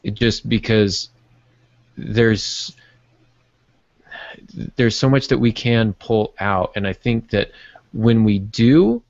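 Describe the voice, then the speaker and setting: Dry, muffled, low-voiced man co-hosting. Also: a little mellow, no room sound. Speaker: podcast co-host and writer. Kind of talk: casual podcast discussion.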